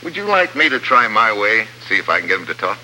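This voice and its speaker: An actor playing a tough, cool American undercover agent in 1950s radio drama style, clipped and wry. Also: male voice, on the low side, very animated, fast talker, bright, forceful tone, full character voice, low-quality recording. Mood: cool, controlled. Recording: old radio recording, faint noise.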